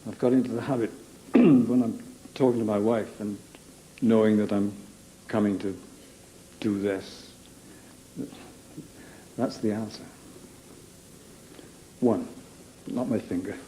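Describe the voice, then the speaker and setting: A man with a very dark, low voice gives a personal account. He speaks very slowly and haltingly, repeating phrases with emphasis. The testimony sounds unscripted. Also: steady tone, slight background noise, low-quality recording. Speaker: interviewee giving a personal account.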